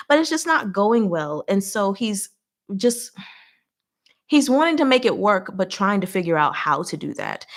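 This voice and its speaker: A woman hosting a casual live recap, talking in an expressive, playful, giggly way with emphatic asides. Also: mid-range voice. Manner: giggly, expressive, laughs often while talking. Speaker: host of a live recap and discussion. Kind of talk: casual livestream chat recapping a story.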